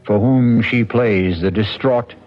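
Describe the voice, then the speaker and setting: A man hosting a 1970s radio mystery, reading scripted narration in a measured, wry, ominous manner. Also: fairly low pitch. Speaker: host and narrator. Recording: dull old radio broadcast. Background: loud dramatic music.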